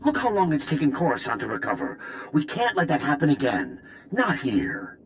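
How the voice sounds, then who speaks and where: A man's voice: fairly high pitch, huge pitch swings, moderate pace, a bit bright, a little hiss or hum, heavily acted. A man voicing an earnest, worried resistance ally in a video game, speaking with urgency and passion. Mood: urgent, pleading. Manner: urgent, impassioned.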